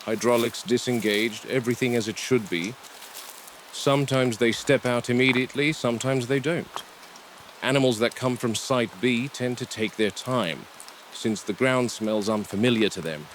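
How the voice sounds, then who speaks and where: A man playing a watchful, weary dinosaur park game warden, narrating a found-footage log in a measured, deliberate way. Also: mid-range voice, lightly acted. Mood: patient, watchful. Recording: noisy field recording with vehicle ambience.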